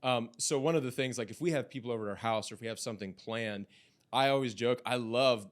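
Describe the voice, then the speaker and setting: A man in his thirties, a father of young kids, talking reflectively in a casual podcast chat with halting restarts. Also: mid-range voice. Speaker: podcast co-host.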